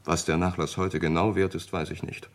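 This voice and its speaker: A discreet solicitor in a radio crime play. The voice is very deep. He is formal and obliging, setting out each detail precisely and matter-of-factly. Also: little pitch movement, normal speed. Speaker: radio drama actor. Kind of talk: German radio crime drama acting.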